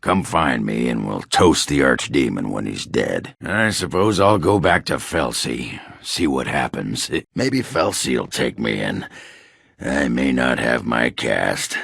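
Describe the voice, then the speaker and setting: A man playing a crude, boozy, belligerent dwarf warrior in a video game, with a raspy, guttural voice and a coarse, blustering, swaggering manner. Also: rumbling low, lively intonation, slow pace, a bit bright, no room sound, clean studio sound, exaggerated voice. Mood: dejected, resentful. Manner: coarse, blustering, swearing, slurred drunken swagger. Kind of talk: video game character acting.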